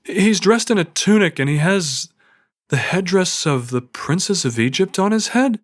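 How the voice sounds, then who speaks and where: A man voicing an alarmed, excitable young woman in a fantasy audiobook, urgent and exclamatory. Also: sits high, moderate pace, pitched above the performer's own voice.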